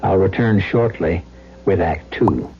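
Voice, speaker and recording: A man hosting a radio mystery, reading scripted narration in an ominous, deliberate, dramatic manner. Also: low-pitched. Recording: dull radio recording with music behind.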